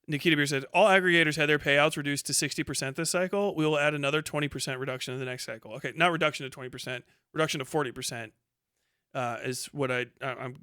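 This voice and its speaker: A man's voice: medium pitch, sharp tone, smooth, dry recording, clean studio sound. A man hosting a livestream with casual reaction commentary, talking and reading aloud in a blunt, mocking, profane way. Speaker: livestream host.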